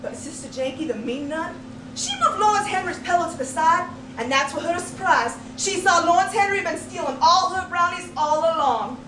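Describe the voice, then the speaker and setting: Female contest storyteller, very high voice, theatrical and folksy, performing a tall tale; noisy live room. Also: lively intonation. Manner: dramatic, emphatic delivery with deliberate pauses; folksy storytelling turns of phrase. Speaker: competitive speech contestant telling a tall tale. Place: indoor speech contest room, distant microphone. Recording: roomy, noisy live recording from far off.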